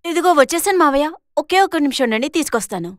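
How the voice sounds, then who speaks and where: A woman voicing a quiet, obedient young daughter-in-law in an animated moral story, meek and compliant. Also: high voice, racing, dry recording, studio quality.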